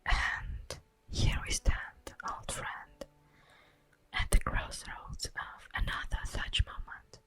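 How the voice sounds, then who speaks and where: A woman voicing an ancient, tender and wistful elf in a breathy whispered ASMR fantasy roleplay, speaking solemnly and poetically with long pauses. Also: fairly bright tone, rough recording. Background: music.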